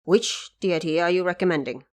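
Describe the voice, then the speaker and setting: A woman playing an imperious, indignant male ruler of heaven in an audio drama, with slow, weighty, rhetorical speech. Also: lowish voice, lightly acted. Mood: pondering.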